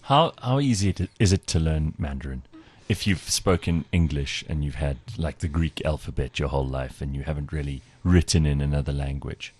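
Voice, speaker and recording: Man podcast host, very deep voice, playful, encouraging. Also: moderate pace, hardly any pauses, a little mellow, slightly rough. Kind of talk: interviewing guests.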